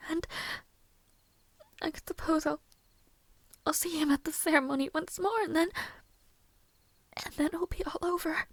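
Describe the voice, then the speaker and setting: A woman plays a tender young maid in a fantasy romance roleplay. Her voice is very high and slow, soft to the edge of a whisper, in a close, dry recording. She speaks hesitantly and formally, full of anxious longing and self-doubt. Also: fairly even intonation, no echo. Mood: wistful, heartbroken. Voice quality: soft, breathy, often near whisper. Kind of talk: intimate audio roleplay acting.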